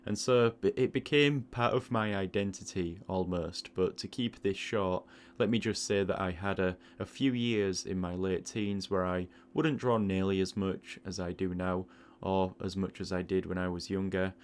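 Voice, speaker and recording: A man's voice: deep voice, steady tone, normal speed, slight background noise. A man giving a thoughtful, explanatory spoken monologue as an artist hosting an audio log, correcting himself as he goes. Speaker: artist and video host.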